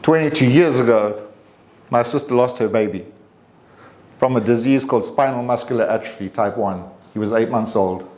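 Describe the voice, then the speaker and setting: Male, 50s, South African accent, mid-range pitch; personal storytelling speech, deliberate with dramatic pauses. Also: darkish tone. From Durban, South Africa. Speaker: club speaker giving a personal speech.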